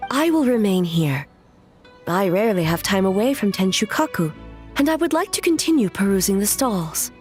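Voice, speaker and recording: A woman voicing an ageless, reserved female ruler in a video game, speaking in a formal, measured, stately way. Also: mid-range voice, very animated, over music, natural voice. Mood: gracious formality.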